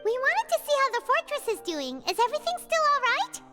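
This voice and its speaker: A woman voicing a small, cheeky, excitable fairy companion in a video game, with a squeaky childlike voice and cutesy exclamations. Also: brisk, heavily acted. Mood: curious, cheeky. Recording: long reverb, music behind.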